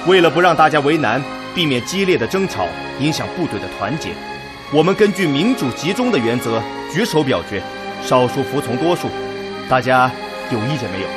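A man's voice: medium pitch, sing-song, natural voice. Guy doing a decisive red army commander in a radio play, rallying speech, lots of swing in the voice, music under it. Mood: measured, persuasive. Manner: measured, rallying speech-making. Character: decisive male Red Army commander chairing a war council. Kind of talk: Chinese radio drama acting.

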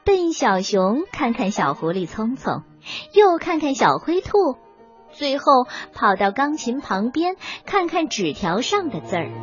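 A woman reads a children's story in a fairly high, very animated voice. Her narration is expressive and scripted, with faint music behind it. The audio is a little dull. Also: a little slow.

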